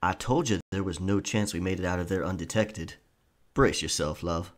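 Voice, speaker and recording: A man's voice: low-pitched, normal speed, lightly acted. A man playing a cocky, sly pirate rogue in an audio roleplay, with theatrical, taunting and charming delivery. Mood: urgent, low. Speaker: ASMR roleplay performer. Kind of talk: pirate ASMR roleplay acting.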